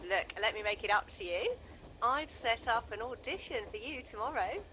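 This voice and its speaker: An actress playing a breezy, gushing talent agent in an audio drama. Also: female voice, fairly high pitch, lively intonation, normal speed, pushed and bright, slight background noise, low-quality recording. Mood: breezy, coaxing. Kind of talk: audio drama phone dialogue.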